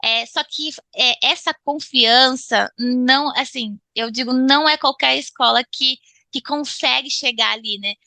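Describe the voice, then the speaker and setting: A woman with a Brazilian accent speaks casually as an interview guest. Her voice is fairly high and bright, with a noticeable creak. She moves haltingly, often repeating a word while she looks for the next one. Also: dry recording. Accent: Brazilian Portuguese. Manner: halting, repeats words as she searches for phrasing. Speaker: interview guest, English student.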